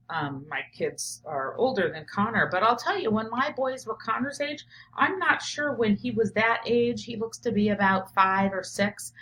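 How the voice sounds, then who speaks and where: A woman's voice: mid-range voice, rough recording. A middle-aged woman with a mid-range voice speaks as a teacher doing a read-aloud. She moves between storybook narration and her own reflective commentary, working out her thoughts as she talks. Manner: reflective, thinking out loud, often drops to a murmur while noting ideas. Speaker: teacher, professor.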